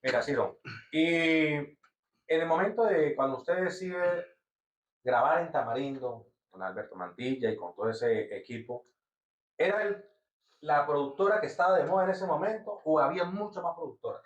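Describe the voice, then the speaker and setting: A man's voice: fairly high pitch, animated, moderate pace. A male podcast host asking questions in a casual interview, often prompting and finishing the guest's sentences. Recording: roomy, distant, dull lo-fi sound.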